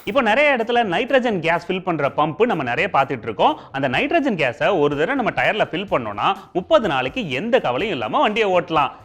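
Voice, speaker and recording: A male TV host with a Tamil Nadu accent and a fairly high voice. His pitch moves around in a very lively way as he explains facts in a conversational style, talking straight to the viewer. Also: brisk, hardly any pauses. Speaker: TV show host. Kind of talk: informational presenting in colloquial Tamil with comic asides.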